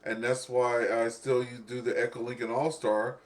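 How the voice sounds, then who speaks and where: Man, medium pitch, radio net host, casual ham chat, rambling, talkative, repeats himself. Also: flat delivery, normal speed.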